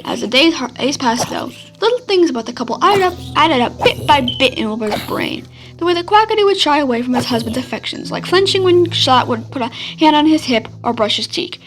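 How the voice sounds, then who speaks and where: A woman's voice: high voice, lively intonation, fairly quick, music in the background. A woman reading fan fiction aloud as a podfic narrator. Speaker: podfic reader.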